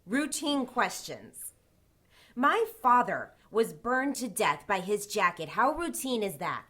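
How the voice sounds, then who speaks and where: A woman playing a prickly, defiant witch in her twenties in an audio drama, curt, sarcastic and measured. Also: medium pitch, big pitch swings, sharp tone, heavily acted. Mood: angry, defiant. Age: 20s.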